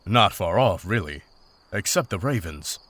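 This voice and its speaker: A man playing a stoic, dry-witted monster hunter in a visual novel, speaking in a terse, deadpan manner. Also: low voice, measured pace, heavily acted.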